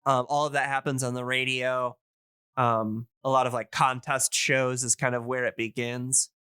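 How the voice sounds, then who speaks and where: A man's voice: medium pitch, level tone, normal speed, bright, forceful tone, smooth, no room sound, clean studio sound. A man hosting a podcast, explaining things casually in a rambling, hesitant but enthusiastic way. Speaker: podcast host.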